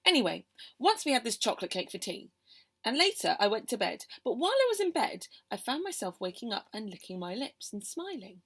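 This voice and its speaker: A woman reading a children's poem aloud in a theatrical, expressive storytelling manner. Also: on the high side, fairly quick, breathy edge. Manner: theatrical, expressive, with hushed whispers and exclamations. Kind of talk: animated children's poem performance.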